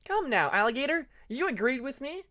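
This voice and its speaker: Man putting on a high, proud young female dragon. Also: very animated, a little fast, sharp tone, heavily acted. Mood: defiant. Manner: self-assured, argumentative.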